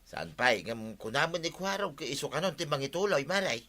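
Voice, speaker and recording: A man's voice: fairly high pitch, sing-song, brisk, pushed and bright, full character voice. A man playing a hesitant, stammering village gossip in an Ilocano comedy radio drama, with an Ilocano accent. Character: flustered village gossip backing down when confronted.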